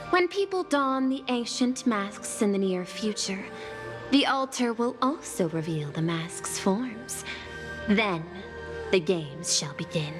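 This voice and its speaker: An actress playing a poised, calculating corporate envoy in a video game, speaking formally and deliberately. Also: female voice, medium pitch. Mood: solemn, ceremonial. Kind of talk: scripted video game dialogue. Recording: long reverb, clear music underneath.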